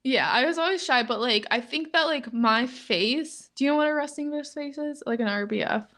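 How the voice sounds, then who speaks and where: A young woman in her twenties co-hosting a podcast in casual chat, talking in a flat monotone and filling nearly every phrase with "like". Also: normal speed. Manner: says "like" constantly, flat and low-key, laughs often. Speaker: podcast co-host.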